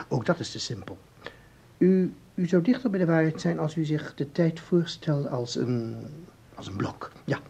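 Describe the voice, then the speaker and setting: Calm researcher, heavily husky voice, formal, deliberate, hesitant phrasing, radio play. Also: male voice, medium pitch. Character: calm, explaining male researcher of time anomalies. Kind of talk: Dutch radio play acting.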